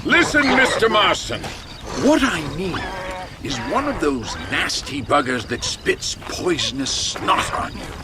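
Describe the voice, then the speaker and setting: Flamboyant, high-pitched eccentric. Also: male voice, big pitch swings, a little slow, heavy background noise. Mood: gleeful, condescending. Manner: florid, theatrical, condescending. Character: eccentric, callous, flamboyant filmmaker in the Old West. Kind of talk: video game character acting.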